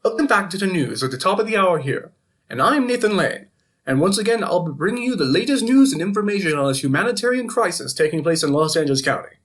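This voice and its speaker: Man acting as evening news anchor, slightly high voice, wry, flippant, opinionated, fictional broadcast delivery. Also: big pitch swings, quick pace, a bit bright. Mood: wry, steady. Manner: wry, editorializing. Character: flippant male TV evening news anchor covering a zombie outbreak. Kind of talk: scripted news reading with wry personal asides.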